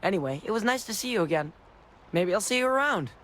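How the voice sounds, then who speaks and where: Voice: medium pitch, expressive, quick pace, a bit bright, slight background noise, lightly acted. An actor voicing a cheerful, chatty little boy in a video game. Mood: cheerful, excited.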